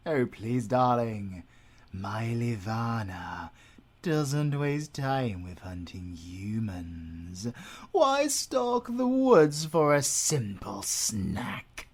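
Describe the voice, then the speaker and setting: A man's voice: mid-range voice, exaggerated voice. A British man playing a suave, theatrical werewolf alpha villain in an audio roleplay, mocking and doting in a flamboyant, drawling way.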